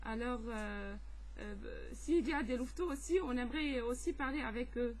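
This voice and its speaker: A woman's voice: on the high side, moderate pace. A woman talks hesitantly as a scout making amateur radio contact, often searching for words. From Lebanon. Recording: faint background noise.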